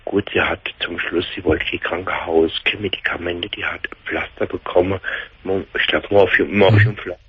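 A man in his forties with a Palatinate German accent, calling a late-night radio show, talking in a halting, dazed way full of restarts. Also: deep voice, steady tone, slightly rough, no room sound. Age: 40s. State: shaken, in shock. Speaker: caller. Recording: dull lo-fi phone-in audio.

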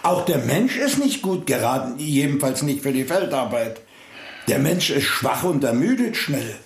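An actor playing a humble, hesitant farmer in a radio play, talking haltingly. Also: male voice, medium pitch, very animated, roomy sound, a little hiss or hum. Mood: earnest. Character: humble male farmer, hesitant, plain-spoken.

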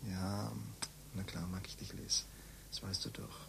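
A man's voice: low voice, level tone, unhurried, gravelly. An actor in a radio play playing a guilt-ridden man in a secret affair, hesitant and protesting. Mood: uneasy protest. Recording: noisy background ambience.

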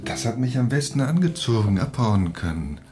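An actor playing a cynical, restless husband and former dissident in a radio drama, speaking in a dismissive, sardonic way. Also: male voice, medium pitch, sing-song, moderate pace, dark tone, faint music behind, close to an everyday voice. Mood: scornful, restless.

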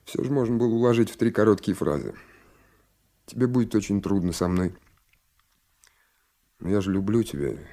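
A man's voice: on the low side, normal speed, pauses often, dark tone, close to an everyday voice. An actor playing an earnest, eager young naval lieutenant in a radio play, at times narrating his own story reflectively. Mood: reflective, hesitant. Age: young adult.

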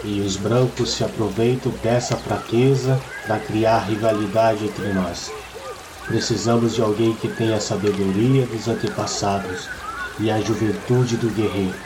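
A man with a Brazilian accent voicing a solemn, wise village shaman in an audio story, speaking in a measured, grave, instructive way. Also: on the low side, lightly acted, poor audio. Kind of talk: scripted audio story acting.

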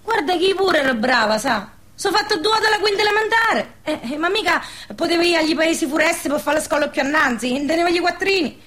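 An actress playing a proud, gossipy village spinster, speaking earthy southern Lazio dialect in a radio play. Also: female voice, extremely high pitch, animated, fairly quick, hardly any pauses, fairly bright tone. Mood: proud, touchy. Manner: earthy, emphatic, colloquial. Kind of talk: dialect radio theatre dialogue.

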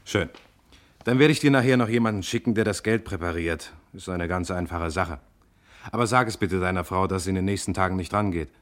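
A man acting a composed investigator in a radio crime drama. His voice is very low, and he questions in a measured, inquisitive, matter-of-fact way. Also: natural voice. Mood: calm, probing. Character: calm, probing investigator, friend of the family.

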